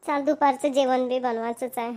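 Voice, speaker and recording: Woman voicing a high-pitched, nagging older Marathi mother-in-law. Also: steady tone, a little fast, mellow, lightly acted. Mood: self-pitying. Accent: Vidarbha Marathi. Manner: nagging, complaining. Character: grumbling, self-pitying older mother-in-law. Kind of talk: amateur cartoon dialogue.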